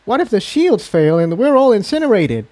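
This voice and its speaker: A man's voice: sits high, sing-song, normal speed, dark tone, pitched above the performer's own voice. A man voicing a wary, anxious female pony with a worried, questioning manner in a fantasy story reading. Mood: nervous, doubtful.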